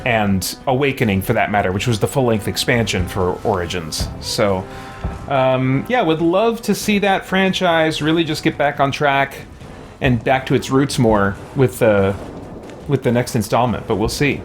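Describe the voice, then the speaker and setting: A man hosting a gaming let's play with casual live commentary and animated, theatrical reactions. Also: mid-range voice, normal speed, faint music behind.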